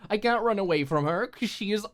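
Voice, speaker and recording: A woman's voice: mid-range voice, expressive, brisk, pitched above the performer's own voice, lightly acted. Woman acting a hurt, furious young woman, voice breaking. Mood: furious, tearful. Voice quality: voice breaking, shaky with angry tears. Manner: bitter, swearing, emotional outbursts.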